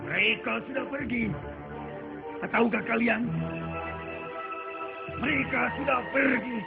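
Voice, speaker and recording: A man's voice: extremely high pitch, measured pace, creaky, heavily acted. A man playing a bossy, exasperated commander in a religious cartoon, with a barking, commanding manner. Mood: bossy, irritated. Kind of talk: dubbed religious cartoon acting. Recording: dull lo-fi audio under loud music.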